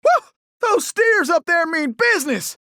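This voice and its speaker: An actor playing a cocky, gallant young cowboy outlaw in a video game, with a folksy, exclamatory Old West Southern drawl. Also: male voice, extremely high pitch, big pitch swings, measured pace, sharp tone, no echo, studio quality, full character voice. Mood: urgent, alert. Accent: American Old West Southern drawl. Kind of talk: animated video game character acting.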